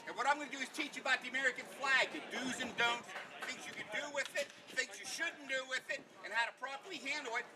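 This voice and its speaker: A man's voice: very high voice, fast talker. A man giving an educational talk to children, presenting in simple steps with questions and answers. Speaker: flag etiquette presenter. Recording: noisy outdoor event recording.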